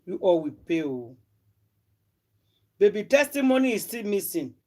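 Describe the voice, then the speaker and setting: A man with a Nigerian Pidgin accent, loudly scolding and shouting warnings. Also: high-pitched, very animated, a little slow, voice pushed up.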